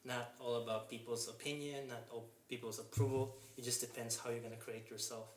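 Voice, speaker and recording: A man's voice: medium pitch, flat delivery. Earnest young man, echoey room. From Japan. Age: 20s. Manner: earnest, halting, strings thoughts with "and then". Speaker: guest, fitness mentor. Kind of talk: casual podcast interview. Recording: echoey room with faint noise.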